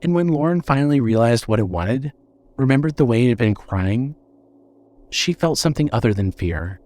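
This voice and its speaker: Male horror audiobook narrator, dramatic and suspenseful, with a widely moving, expressive pitch. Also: moderate pace. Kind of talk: scripted horror fiction narration.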